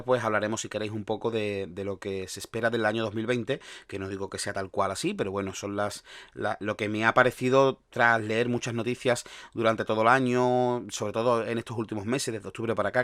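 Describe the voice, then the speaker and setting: A man from Andalusia with a softened Andalusian accent, hosting a solo podcast in a rambling, restarting way. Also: mid-range voice, no echo, clean studio sound. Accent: Andalusian Spanish, softened. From Andalusia, Spain. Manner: rambling, with frequent restarts and fillers. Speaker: podcast host.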